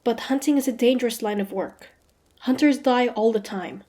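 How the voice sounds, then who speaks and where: A woman's voice: on the high side, moderate pace, dark tone, natural voice. A woman playing a proud, suspicious young female hunter in a fantasy audio roleplay, guarded and easily flustered, with a grudging tsundere streak. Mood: doubtful, shaken.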